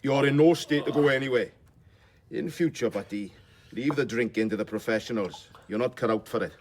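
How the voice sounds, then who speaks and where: An actor playing a gruff, wry, caring doctor in a radio drama, husky and bluntly commanding with his friends. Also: mid-range voice, fairly quick, a little mellow, slight background noise, close to an everyday voice. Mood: wry, scolding. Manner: blunt, commanding, dryly teasing. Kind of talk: naturalistic radio drama dialogue.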